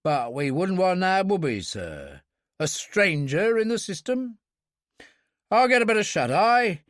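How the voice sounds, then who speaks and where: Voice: mid-range voice, sing-song, measured pace, a bit bright, dry recording, studio quality, voice pushed up. A narrator voicing an easygoing army sergeant, tired and chummy. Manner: casual and chummy. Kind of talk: audiobook character voice.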